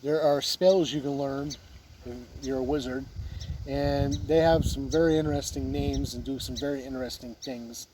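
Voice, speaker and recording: A man giving a casual, unscripted review as a video host, plain-spoken with halting phrasing, with wind on the recording. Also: mid-range voice, steady tone, dark tone, rough recording. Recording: wind noise on the mic.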